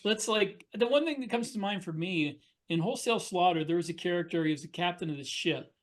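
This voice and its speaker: A man explaining and telling stories casually as a podcast co-host, halting at times as he works out his points. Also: sits high. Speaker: podcast co-host and fiction author. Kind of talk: casual storytelling and discussion.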